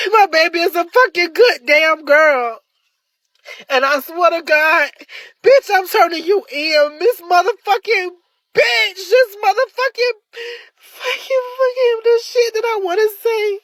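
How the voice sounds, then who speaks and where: A woman's voice: very high-pitched, takes it slowly, long pauses, a bit bright, dry recording. A mother, crying and ranting in distress, swearing and repeating herself. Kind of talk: emotional vlog rant.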